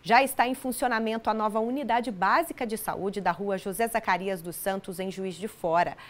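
A woman, presenting scripted news in a formal, clearly articulated way from a TV studio on a lapel mic. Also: mid-range voice, moderate pace.

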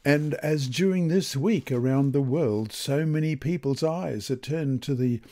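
A man reads a scripted Bible lesson and prayer in a measured, reverent manner with deliberate phrasing. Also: mid-range voice, warm tone. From Australia. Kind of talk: scripted devotional narration and prayer.

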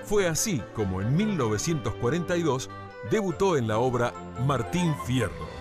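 A man's voice: medium pitch, big pitch swings, normal speed. A man reading a scripted tribute narration. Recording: loud music under voice. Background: music.

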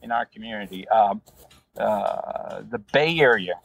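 A man from Brazil with a Brazilian Portuguese accent, a rideshare driver telling his story in a relaxed, rambling way with many fillers. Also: lowish voice, a little slow, gravelly, poor audio. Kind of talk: casual interview storytelling.

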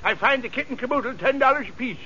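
High, animated folksy country man. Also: quick pace, slightly rough, heavily acted. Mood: sly, folksy. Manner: rustic drawl, folksy grammar. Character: folksy, sly country man with easygoing humor. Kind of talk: 1940s radio comedy acting. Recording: dull, noisy vintage radio recording.

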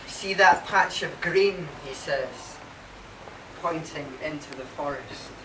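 A man, reading his poetry live in short, measured phrases. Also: fairly high pitch. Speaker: poet reading own work. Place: marquee with a small seated audience. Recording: distant, echoey, noisy live recording.

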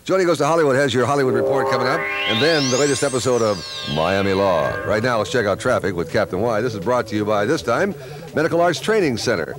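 A man's voice: mid-range voice, expressive, fast. An American man in his thirties hosting a morning FM radio show with upbeat DJ patter on a close studio microphone. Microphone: close broadcast microphone on boom. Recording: music behind, 1980s radio aircheck.

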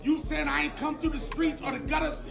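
Guy yelling on a club stage, high gravelly voice, loud crowd and music, echoey. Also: little pitch movement, brisk. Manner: insistent, repeating himself, shouting back. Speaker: stand-up comedian. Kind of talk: heated on-stage argument.

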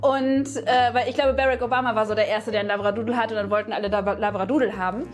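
A woman's voice: on the high side, steady tone, quick pace. A woman, chatting casually as the host and contestant of a game show. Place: indoor studio room.

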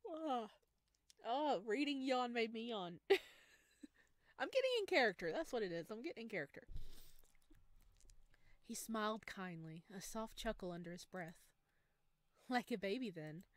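A woman with a fairly high voice hosts a visual novel playthrough, reading the story aloud and adding gushing, expressive reactions. The sound is close and dry. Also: a little slow, pauses often, no room sound. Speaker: streamer playing a visual novel. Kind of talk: game playthrough with read-aloud narration and excited reactions.

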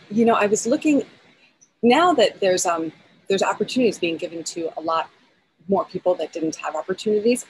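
A woman in her forties talks eagerly on a video call, her voice sitting a little low. She restarts her sentences often as her thoughts run ahead. Faint outdoor ambience hums behind her. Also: slight background noise, rough recording. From United States. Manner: enthusiastic, many restarts and fillers, talks with her hands. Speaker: film editor, guest. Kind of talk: casual interview over video call. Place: outdoors in a backyard.

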